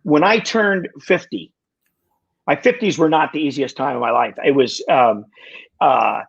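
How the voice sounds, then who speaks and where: Halting, husky-voiced man in his sixties. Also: a little high, muffled recording. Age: 60s. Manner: halting, full of restarts, wry self-deprecating humor. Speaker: podcast guest. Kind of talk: casual conversation.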